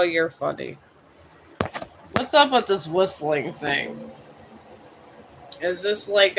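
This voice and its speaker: A man in his fifties from Ohio, chatting casually with viewers on a live stream in a rambling, musing, self-deprecating way, a bit high. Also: a bit bright, a little hiss or hum, poor audio. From Cleveland, Ohio. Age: 50s.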